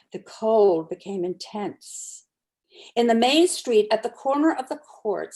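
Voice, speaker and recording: A woman narrator giving a dramatic storybook reading with expressive, deliberate phrasing. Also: a little high.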